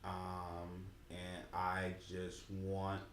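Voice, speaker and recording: A laid-back man with a lowish voice, chatting and teasing on a podcast. His delivery is dry and unhurried. The room is echoey, with a faint hiss underneath. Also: monotone, a bit bright. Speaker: podcast co-host. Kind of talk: casual podcast chat.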